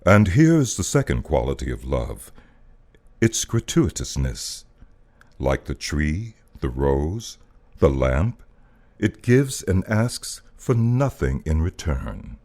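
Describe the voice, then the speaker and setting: Deep-voiced man giving a solemn dramatic reading. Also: very animated, a little mellow. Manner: solemn, deliberate, emphatic. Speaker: voice-over artist, narrator. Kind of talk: dramatic poetic reading of a spiritual text.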